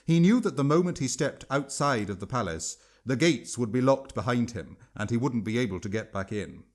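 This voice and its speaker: Expressive male storyteller, animated intonation. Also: medium pitch, normal speed. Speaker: author and narrator. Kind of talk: scripted storybook narration.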